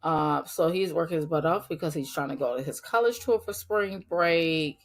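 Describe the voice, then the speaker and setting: A middle-aged woman with a voice gone raspy from a cold, hosting a faith-based podcast in an earnest, emphatic way and talking straight to her listeners. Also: low-pitched, normal speed. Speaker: podcast host.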